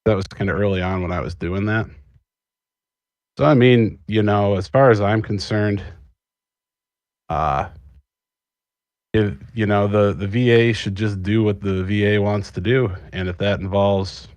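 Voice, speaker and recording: A man's voice: on the low side, measured pace, long pauses, darkish tone, smooth, no room sound. A man talking casually as a panelist in a podcast discussion. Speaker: panelist, script writer.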